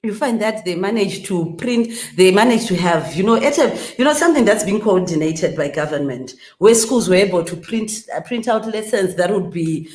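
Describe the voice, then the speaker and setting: A woman's voice: mid-range voice, lively intonation, moderate pace, hardly any pauses, darkish tone. Woman, panel guest, earnest with many restarts; echoey room. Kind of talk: discussion panel.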